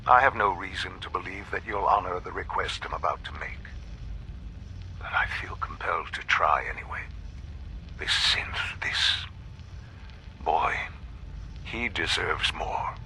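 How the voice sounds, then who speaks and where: An actor playing a solemn, weary old father recording a formal, measured last request for a game. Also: sits low, steady tone, sharp tone, a little hiss or hum, full character voice, rough recording. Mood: grave, pleading. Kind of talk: recorded farewell message in a game cutscene.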